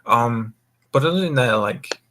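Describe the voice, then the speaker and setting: A man's voice: medium pitch, animated, a little slow, a bit bright, a little hiss or hum. A young man hosting a gaming voice call, rambling casually in a laid-back way and swearing often. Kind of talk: casual group chat, rambling. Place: online voice call.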